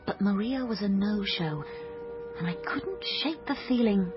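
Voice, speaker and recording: A dreamy, chatty young woman, played by an actress tells her story in a radio drama. She is wry and melodramatic with a mid-pitched voice, in a dull broadcast mix with clear music behind. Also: animated, unhurried, natural voice. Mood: wry narration. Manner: wry, melodramatic, flustered in dialogue. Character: naive, dreamy, chatty young woman obsessed with detective novels. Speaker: lead character and narrator. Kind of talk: radio drama, dialogue and wry first-person narration.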